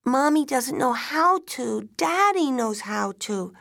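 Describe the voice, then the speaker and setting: Small daughter in an audio drama, acted by a woman: high, frightened, innocent, pleading. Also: animated, slow, vocal fry, a bit bright, heavily acted. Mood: innocent, upset. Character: small frightened daughter asking for her parents. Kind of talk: dramatic audiobook-style storytelling.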